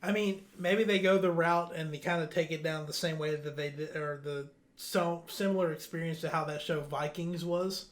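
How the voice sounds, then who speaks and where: A man with a somewhat high-pitched voice hosts a podcast. He presents entertainment news in a loose, casual, conversational style. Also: steady tone, normal speed, few pauses. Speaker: podcast host.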